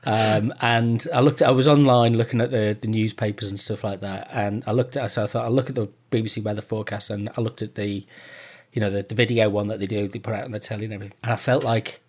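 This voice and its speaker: A man's voice: lowish voice, steady tone, fast talker, a little mellow. A British man hosting a casual interview, talking in a rambling, self-deprecating way. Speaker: podcast host.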